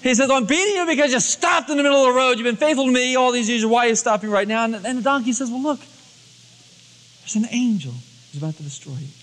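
Male pastor, high voice, storytelling sermon, colloquial acted-out dialogue, cassette recording with long hall reverb. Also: quick pace, fairly bright tone. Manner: dramatizes dialogue in everyday colloquial words.

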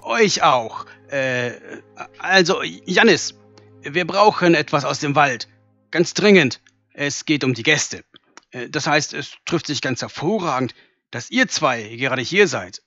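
A man's voice: a little high, expressive, measured pace, pushed and bright, dry recording, soft background music, exaggerated voice. A man playing a flustered, officious castle servant in a fantasy audio drama. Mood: flustered, urgent. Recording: dull, band-limited sound.

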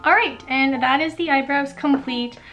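A woman's voice: high-pitched, normal speed, a bit bright, muffled recording, soft background music. A young woman in her twenties chatting through a makeup routine in her bedroom, bubbly and full of fillers and small laughs. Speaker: beauty vlogger. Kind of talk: casual makeup tutorial chat. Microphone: camera on a tripod at arm's length.

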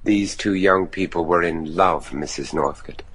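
An actor playing a grave, anxious judge in a gothic horror radio play, with theatrical, deliberate delivery. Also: male voice, deep voice, fairly bright tone, husky, no echo, exaggerated voice. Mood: firm, probing. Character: grave, anxious judge searching for his missing son.

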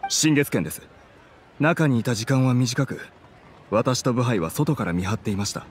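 A man's voice: mid-range voice, lively intonation, moderate pace, slight background noise, lightly acted. A man voicing an earnest, dutiful subordinate agent who speaks formally, in a Japanese video game. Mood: apologetic, reporting.

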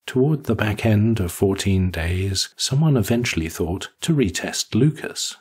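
A man reads a short story as narrator. His voice is low and very husky, and his delivery has a wry storyteller's touch. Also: expressive, measured pace, a little mellow. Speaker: audiobook narrator.

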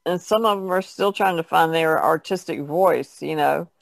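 A woman speaks casually as a podcast guest. Heavy vocal fry runs through her low voice, and the recording is dry and free of echo. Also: normal speed. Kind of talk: casual chat.

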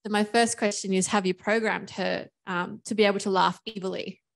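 Brief: lively gravelly woman in the audience, curious questioner. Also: mid-range voice, a little fast. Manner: animated, laughs often. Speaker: audience member asking a question. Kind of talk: casual question at a panel.

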